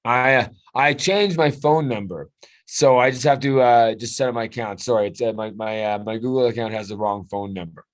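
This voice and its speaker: A middle-aged man from Canada chats over a video call as a client. His voice sits at a middle pitch. He hesitates often, restarting sentences and filling gaps with fillers. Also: normal speed. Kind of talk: casual video call chat.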